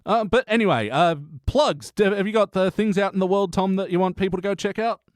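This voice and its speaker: A man's voice: high voice, fairly quick, dry recording, clean studio sound. A man hosting a podcast in casual chat, talking informally and enthusiastically with plenty of swearing. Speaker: podcast host.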